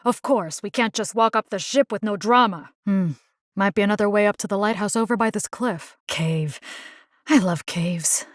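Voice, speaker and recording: Actress as a sassy Puerto Rican action heroine, close dry sound. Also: female voice, medium pitch, moderate pace, no echo, lightly acted. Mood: wry, weary. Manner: sassy, slangy, sprinkles in Spanish words. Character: tough, wisecracking young woman engineer fighting vampires. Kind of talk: video game character voice lines.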